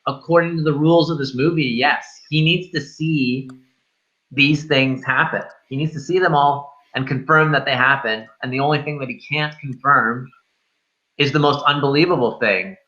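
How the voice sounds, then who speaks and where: A man's voice: a little high, fairly even intonation, moderate pace, sharp tone, lo-fi sound. A man hosting a casual film discussion, feeling his way through ideas in a searching, repetitive, sweary manner. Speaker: film review show host.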